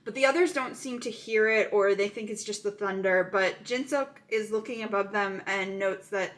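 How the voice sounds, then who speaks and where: A woman's voice: medium pitch, moderate pace, fairly bright tone. A woman casually recapping a thriller movie plot, chatty and full of "um" fillers.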